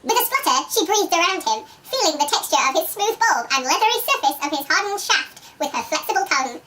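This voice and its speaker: Very high, bright woman reading dramatically. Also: lively intonation, fast. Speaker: reader of book excerpts. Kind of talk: dramatic amused reading aloud. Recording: distant from the microphone.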